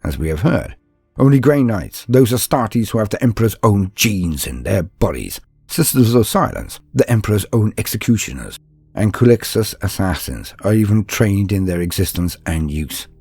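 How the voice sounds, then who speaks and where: Husky low voiced guy doing dramatic lore narration, very formal and grand, music behind. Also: big pitch swings, normal speed, darkish tone, no room sound. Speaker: host of a lore channel and storyteller.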